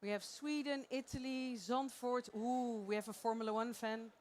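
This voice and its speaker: A woman's voice: on the high side, steady tone, normal speed, a little mellow, a little hiss or hum. A woman from the Netherlands with a Dutch accent, giving a playful, chatty live conference talk on stage and laughing often. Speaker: conference presenter.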